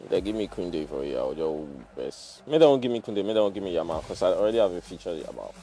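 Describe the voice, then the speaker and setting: A man's voice: sits low, fast, faint music behind. A young Nigerian man giving loose, joking commentary while he plays on a livestream, slipping into pidgin slang. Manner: animated, jokey, mixes pidgin slang. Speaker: gaming streamer.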